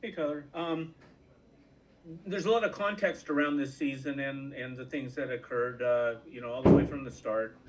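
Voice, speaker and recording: Reporter guy asking a long winding question, normal pitch. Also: steady tone, moderate pace, background noise. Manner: long rambling questions with restarts. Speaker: sports reporter. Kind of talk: press conference question.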